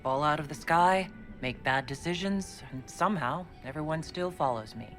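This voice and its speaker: A wry, self-deprecating leader, played by a man, speaks plainly in a game cutscene. His voice sits slightly higher than most men's. Faint music plays underneath. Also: normal speed, fairly bright tone, close to an everyday voice. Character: wry leader of a heroic order. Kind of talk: video game cutscene acting.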